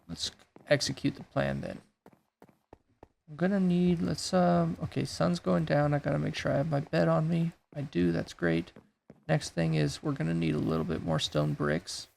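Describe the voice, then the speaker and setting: A man with a raspy voice that is going hoarse, thinking aloud in a laid-back way while he builds in a game. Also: fairly high pitch, measured pace, a little mellow. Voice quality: gravelly, raspy. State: losing his voice. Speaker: gaming YouTuber. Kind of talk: casual gameplay commentary.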